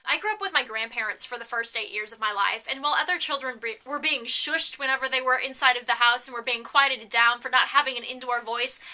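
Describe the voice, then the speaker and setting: A woman in her twenties with a naturally loud, projected voice, earnestly confiding to camera in a rambling, self-deprecating way. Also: high-pitched. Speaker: faith vlogger. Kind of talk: candid confessional talk to camera.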